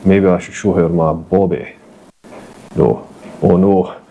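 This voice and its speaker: A cheeky, crude Glaswegian man speaks in a broad Glaswegian accent, voiced by a man. The voice is low and brash. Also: fairly even intonation, slow, gravelly, dark tone, highs cut off, exaggerated voice.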